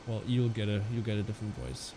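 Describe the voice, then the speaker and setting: A man's voice: fairly low pitch, big pitch swings, quick pace, noisy background. Chatty flight sim streamer guy. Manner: chatty, rambling, many fillers and restarts. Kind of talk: casual live-stream chat with viewers.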